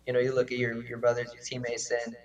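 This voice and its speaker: A young man, a high school quarterback whose first language is Russian, speaking softly and hesitantly. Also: monotone, quick pace, highs cut off.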